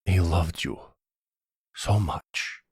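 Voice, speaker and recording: A man playing an anxious, guilt-ridden young man from a mob family, halting and stiffly polite, in an audio drama. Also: sits low, darkish tone, breathy edge, no echo, studio quality, full character voice. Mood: mournful confession. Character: anxious, guilt-ridden young mobster's son, formal, hesitant.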